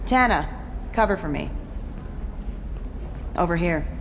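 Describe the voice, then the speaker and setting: Low, cool, streetwise female informant. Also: animated, takes it slowly, vocal fry, a little hiss or hum, full character voice. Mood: cool, wry. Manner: guarded, cool, matter-of-fact. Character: wry, streetwise ex-security woman turned shady informant. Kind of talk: video game dialogue acting. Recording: game music behind the voice.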